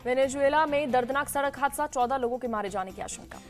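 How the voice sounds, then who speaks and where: A woman news anchor with an Indian accent reading scripted, rapid-fire news headlines. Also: sits high, faint music behind.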